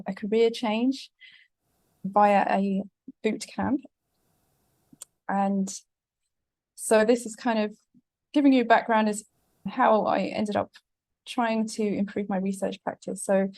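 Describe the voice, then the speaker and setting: A woman from southeast London with a British accent, giving a presentation as a guest speaker in a casual, halting way with fillers and restarts. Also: medium pitch, darkish tone, no echo. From England. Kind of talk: presentation talk.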